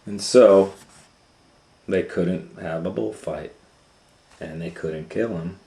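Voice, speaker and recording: Deep-voiced, slow storytime man in echoey room. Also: warm tone. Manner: dramatic, teacherly, pauses to comment on pictures and ask questions. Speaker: storytime host and reader. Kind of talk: expressive children's storybook read-aloud. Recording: echoey room with faint noise.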